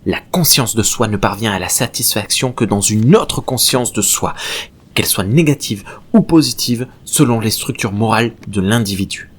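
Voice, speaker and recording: A man's voice: fairly low pitch, moderate pace. An actor playing an obsessive, exalted male researcher given to professorial philosophical tirades in a French audio drama. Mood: exalted, lecturing. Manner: professorial, fervent, rambling monologues. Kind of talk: French science-fiction audio drama acting. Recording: clear background noise and ambience.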